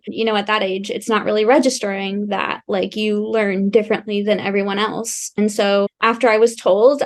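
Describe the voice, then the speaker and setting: Articulate young woman podcast guest. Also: mid-range voice. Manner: articulate, fluent, reflective. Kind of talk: casual podcast interview.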